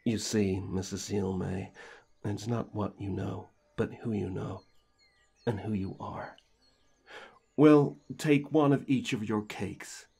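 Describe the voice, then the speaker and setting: Man voicing a cocky, flirtatious elf prince, low-voiced. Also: slow, dark tone, full character voice. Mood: boastful. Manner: smooth-talking, teasing, boastful. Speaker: voice actor. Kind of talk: ASMR fantasy audio roleplay.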